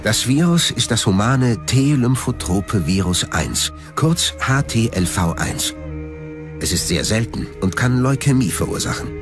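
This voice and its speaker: A man narrating a scripted documentary in a measured, factual manner, recorded as a studio voice-over. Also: mid-range voice, animated. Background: background music.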